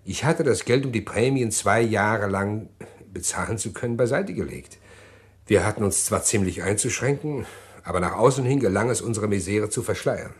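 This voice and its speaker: Actor playing evasive gentleman criminal, lowish male voice, formal, hesitant, self-justifying, radio crime drama. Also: moderate pace, natural voice. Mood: troubled, confessing. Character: evasive, well-spoken condemned gentleman confessing his crime. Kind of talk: German radio crime play acting.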